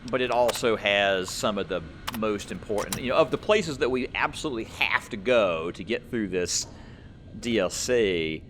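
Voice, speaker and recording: Low-voiced man doing casual gameplay commentary. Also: expressive, normal speed, a bit bright, a little hiss or hum. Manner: explanatory, rambling, thinking aloud. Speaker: gamer, let's play host.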